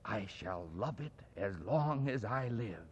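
A man reading aloud and voicing an elderly male miser, giddy with joy, with a husky voice and breathless exclamations and chuckles. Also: lowish voice, lively intonation, slow pace, in a large hall, lo-fi sound, pitched below the performer's own voice, full character voice. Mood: chuckling tenderness.

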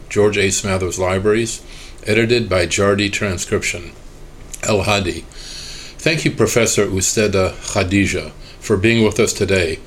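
A man reading aloud a translated oral history interview transcript in a steady, measured way, voicing both the interviewer and the interviewee. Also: on the low side, a little hiss or hum.

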